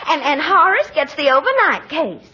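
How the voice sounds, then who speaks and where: High-pitched, gushing Southern belle. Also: female voice, sing-song, normal speed, pushed and bright, exaggerated voice. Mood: gracious, bustling. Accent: American Southern. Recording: muffled old radio broadcast, studio audience.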